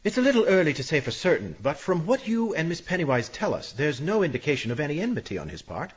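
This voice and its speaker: Husky, animated police inspector. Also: male voice, a little high, fast talker, muffled recording. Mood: official, reassuring. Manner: matter-of-fact, official, put-upon. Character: exasperated, officious male police inspector. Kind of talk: radio mystery drama acting.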